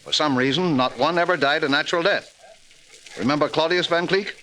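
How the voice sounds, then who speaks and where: An actor playing a brusque, no-nonsense newspaper editor in a 1940s radio drama, brisk and assertive. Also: male voice, on the high side, pushed and bright. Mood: businesslike, intent. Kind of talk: 1940s radio mystery acting. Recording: hissy, crackly old radio recording.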